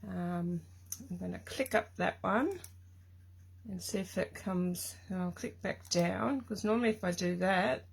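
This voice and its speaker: A middle-aged Australian woman from Tasmania with a croaky voice, chatting casually and rambling with lots of ums as she hosts a live craft stream from her craft room. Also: lowish voice, a little slow.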